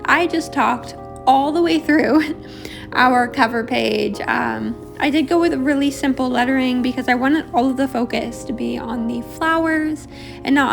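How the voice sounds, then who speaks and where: A young woman with a high voice that turns gravelly and raspy, rambling casually through a journal walk-through with many ums. Clear music plays behind her. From Australia. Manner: rambling, chatty, many fillers. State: raspy, harsh throat. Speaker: bullet journal vlogger. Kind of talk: casual voice-over while drawing.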